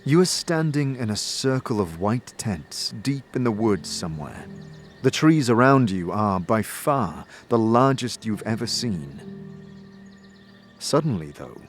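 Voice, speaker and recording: A man narrating a fantasy audio drama as a storyteller, in a deliberate, theatrical scripted style. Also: on the low side. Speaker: narrator and game master. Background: background music.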